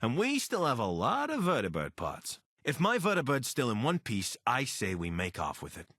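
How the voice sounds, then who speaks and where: An actor playing an anxious, wry deserter soldier and pilot in a video game, speaking in a conversational, earnest way. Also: male voice, medium pitch, wildly swooping pitch, normal speed, fairly bright tone, dry recording, studio quality, full character voice. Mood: persuasive. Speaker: voice actor for a companion character. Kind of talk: video game character dialogue.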